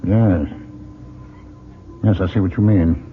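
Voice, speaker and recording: An actor playing a practical, doubting husband and explorer in an old-time radio drama. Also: male voice, low voice, slow pace. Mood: puzzled, uneasy. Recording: phone-like old radio recording, faint noise.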